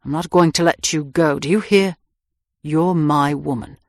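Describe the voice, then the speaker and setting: Woman narrator puts on a passionate young man, deeper, urgent, audiobook. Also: a little slow, dry recording, clean studio sound. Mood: fierce. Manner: urgent, rough, pleading. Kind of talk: audiobook character voice.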